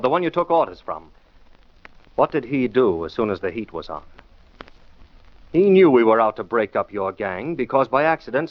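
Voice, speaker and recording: A man's voice: medium pitch, no room sound, close to an everyday voice. A man acting a shrewd, scornful radio detective who lectures emphatically in rhetorical questions, in 1940s style. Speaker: detective lead and show host. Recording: muffled 1940s radio recording.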